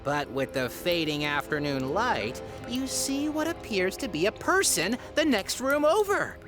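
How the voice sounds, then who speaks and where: A mischievous game master. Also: female voice, mid-range voice, big pitch swings, a little slow, over music. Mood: playful. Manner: playful, teasing storyteller. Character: gleeful young woman game master, mischievous. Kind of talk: narrating a tabletop game in a comedy audio drama.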